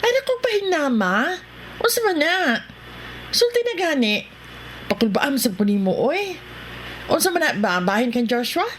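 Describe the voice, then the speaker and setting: A pushy, suspicious Visayan wife in a radio serial. Her high voice swings up and down impatiently, over faint noise. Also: big pitch swings, normal speed, pauses often. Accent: Filipino, Visayan. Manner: teasing, pushy questioning. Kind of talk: radio drama acting.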